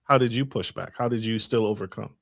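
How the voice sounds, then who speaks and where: A man's voice: fairly low pitch, brisk, vocal fry, no room sound. A man hosts a podcast interview, recorded close and dry with no room sound. He asks long, layered questions and rephrases them again and again for emphasis. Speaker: podcast host.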